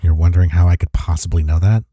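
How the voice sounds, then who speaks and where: A man acting in an intimate audio roleplay as a gruff but caring office rival, speaking in a husky, soft, reassuring way. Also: sits low, steady tone, fast, no room sound, studio quality. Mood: sincere, admiring.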